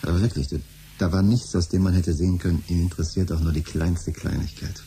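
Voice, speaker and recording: A man's voice: low voice, steady tone, fast, dark tone, a little hiss or hum. An actor playing a frustrated, pushy middle-aged father in a 1970s German radio play, grumbling and insistent. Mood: exasperated.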